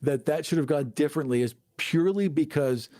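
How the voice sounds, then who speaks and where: A man's voice: fairly high pitch, fairly quick, dark tone, no room sound. A man from the United States chatting casually as a podcast co-host, arguing his points with emphasis. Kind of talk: casual chat about tabletop gaming.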